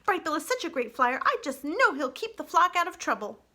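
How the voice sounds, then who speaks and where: A very high, bright little animal girl from a storybook, acted out by a woman reader. She chatters eagerly and anxiously in long breathless rambles. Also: sing-song, brisk, exaggerated voice. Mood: chirpy, eager. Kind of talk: children's storybook character voice.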